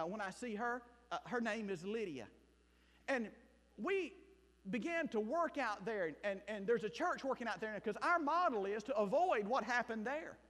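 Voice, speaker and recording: A man from South Mississippi with a Southern accent, preaching a sermon and telling his own story as a missionary pastor, shifting between loud bursts and hushed asides. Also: sits high. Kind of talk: sermon with personal testimony. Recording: long hall reverb.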